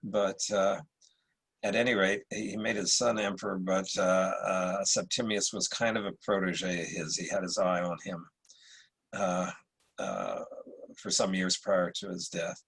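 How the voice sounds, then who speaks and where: A middle-aged or older American man giving a casual, halting, rambling introduction of himself as an actor. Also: sits low, gravelly, a bit bright, breathy edge, poor audio.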